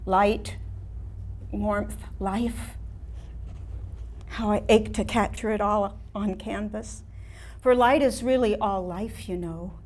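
A woman's voice: medium pitch, darkish tone. A woman playing a wistful, ailing artist in her late seventies in a theatrical staged reading. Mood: reverent. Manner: slow, deliberate, poetic delivery with pauses. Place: room of a house, seated before an audience.

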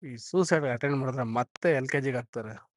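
A man's voice: mid-range voice, a little fast, no room sound, studio quality. A man with a Karnataka Kannada accent making a prank phone call in casual, colloquial speech, pestering and insistent. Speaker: prank caller, channel host. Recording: clear background noise and clicks.

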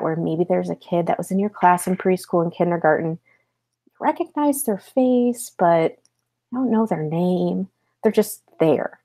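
American woman teacher with a creaky voice. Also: mid-range voice, darkish tone. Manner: explanatory, with rhetorical check-in questions. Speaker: elementary school teacher. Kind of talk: read-aloud lesson with explanations to pupils. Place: small home office, indoors.

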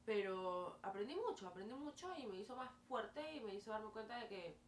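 A woman in her twenties from Peru with a Peruvian Spanish accent, casually telling her story to camera with lively hand gestures and many fillers. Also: mid-range voice, normal speed. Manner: chatty, animated hand gestures, frequent fillers. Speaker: vlogger. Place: small bedroom, indoors. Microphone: camera mic at a distance. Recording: roomy, distant, faint hiss.

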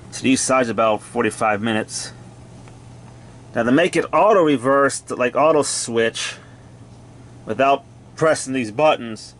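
Man, medium pitch, casual equipment demo, short clipped phrases, matter-of-fact delivery, handheld camera mic indoors. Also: a bit bright, a little hiss or hum. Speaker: gadget demonstrator. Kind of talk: casual hands-on equipment walkthrough. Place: indoors, cluttered home room.

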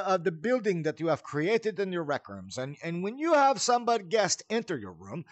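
A man hosting a casual instructional walkthrough in a chatty, animated way, often checking in with the listener. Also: sits high, dry recording. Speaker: tutorial host.

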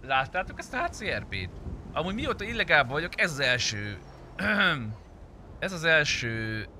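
A man's voice: a little high, sing-song, sharp tone, a little hiss or hum. A man chatting casually and roleplaying on a game stream, informal and slangy, swearing freely. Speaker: livestreamer playing a roleplay game.

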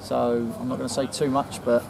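A man in his forties with an English accent, a football manager giving a measured post-match interview outdoors at night. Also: mid-range voice, level tone, vocal fry, noisy background. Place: outdoors in front of a sponsor board at night.

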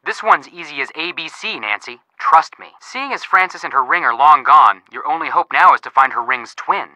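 A wry, teasing helper in an adventure game. His voice is slightly high and very bright, with lively swings of pitch as he hands out hints. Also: normal speed, no room sound, rough recording. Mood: playful, helpful. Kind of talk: video game voice acting, hint dialogue.

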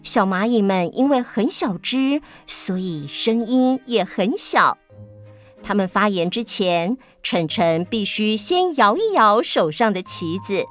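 A woman's voice: medium pitch, lively intonation, takes it slowly, fairly bright tone, faint music behind. A woman narrating a scripted story for children.

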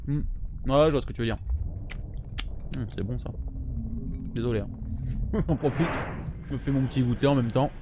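A man's voice: mid-range voice, normal speed, long pauses. A man, chatting casually with viewers while streaming a retro game from home, sometimes mumbling while eating. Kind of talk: casual gameplay chat with viewers. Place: home streaming room, indoors. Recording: game sound noise behind voice. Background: game gunfire and sound effects.

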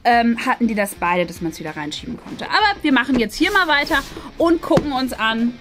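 High-voiced woman, casual unboxing chat. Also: big pitch swings, a little fast. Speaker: host of an unboxing video. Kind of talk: casual chatty product review. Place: small decorated indoor room. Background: faint music.

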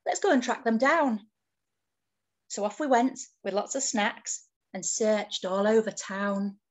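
A woman's voice: mid-range voice, a little slow, close to an everyday voice. A woman voicing a plucky, cheerful octopus who tells a rhyming storybook tale in a sing-song way. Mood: cheerful storytelling.

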